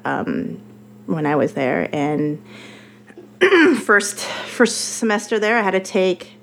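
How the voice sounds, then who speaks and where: A woman's voice: mid-range voice, very animated, slow pace, creaky, slight background noise. A young adult woman from Colorado, a TV writer telling her story on a podcast in a chatty, self-deprecating way, with a very young-sounding voice. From Colorado, USA. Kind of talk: casual storytelling chat.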